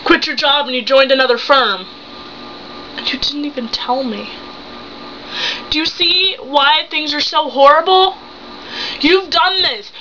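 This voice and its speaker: A seventeen-year-old young woman acting a betrayed, hurt and angry wife and mother in an improvised dramatic monologue, accusing with halting, emphatic phrases. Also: sits high, sharp tone, voice pushed up. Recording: muffled home recording with hum.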